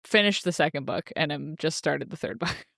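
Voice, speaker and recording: A woman's voice: low voice, expressive, normal speed, creaky, a bit bright, no echo, clean studio sound. A woman co-hosting a podcast, chatting casually about books in a playful, jokey manner. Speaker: podcast co-host. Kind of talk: casual book discussion chat.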